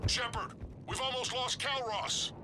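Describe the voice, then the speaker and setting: A man's voice: very high voice, fairly even intonation, measured pace, heavy background noise. A man voicing a battle-hardened alien warlord in a video game, blunt, commanding and loyal. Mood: urgent, shouting.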